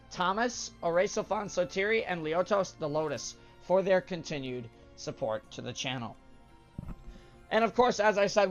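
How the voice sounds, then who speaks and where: A young man, hoarse from a sore throat, talks through his gameplay in a relaxed, upbeat way, his voice sitting a bit high. He keeps dropping "uh" fillers as he explains. Faint game music runs underneath. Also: dull audio. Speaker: gaming channel host. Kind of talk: let's play commentary.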